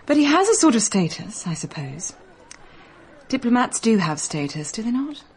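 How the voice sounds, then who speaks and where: A woman's voice: fairly high pitch, sing-song, normal speed, husky. An actress playing a worldly young society woman who speaks in a cool, dismissive, appraising way. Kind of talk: BBC radio drama acting.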